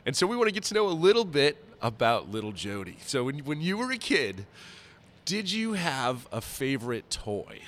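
A man with a raspy, nasally congested voice, chatting casually as a podcast host. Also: medium pitch, sing-song, moderate pace, a bit bright. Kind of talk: casual interview chat. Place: conference center during a busy event. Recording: live at a busy event venue.

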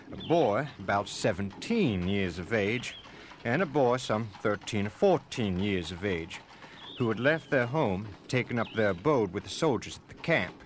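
An actor playing an indignant slaveholder who makes a formal, emphatic complaint in a dramatic reading of historical documents. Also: male voice, on the low side, a bit bright, a little hiss or hum. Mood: formal grievance.

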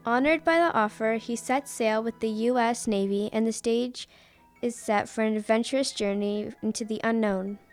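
Young female higher-voiced radio adventure narrator. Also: normal speed, soft background music, natural voice. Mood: measured narration. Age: teenager. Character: storyteller of a sea adventure. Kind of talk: scripted radio drama narration.

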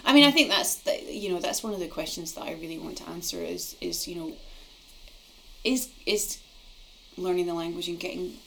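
A woman's voice: fairly low pitch, normal speed, a bit bright, slight background noise, low-quality recording. A middle-aged woman hosting a podcast conversation, often hesitating and restarting her phrases. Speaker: podcast host. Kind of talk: conversational interview.

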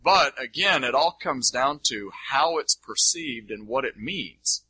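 A man's voice: mid-range voice, animated, unhurried, bright, forceful tone, a little hiss or hum, rough recording. A man answering an audience question as a Bible teacher, unscripted and emphatic, repeating phrases to drive points home.

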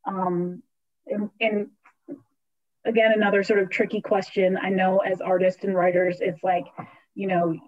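A woman's voice: medium pitch, flat delivery, measured pace. Hesitant woman hosting a book talk. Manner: hesitant, many fillers. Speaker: book club host. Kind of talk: online author interview. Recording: dull lo-fi audio.

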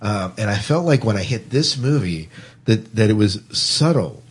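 A man's voice: on the low side, lively intonation, moderate pace, slightly rough. A man hosting a podcast, casually discussing a film and often repeating phrases as he works out his point. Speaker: podcast host.

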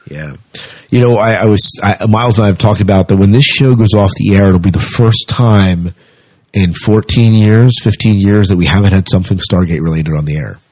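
A man's voice: lowish voice, brisk, darkish tone. A man hosting a podcast in a conversational, rambling style of casual news chat. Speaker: podcast host.

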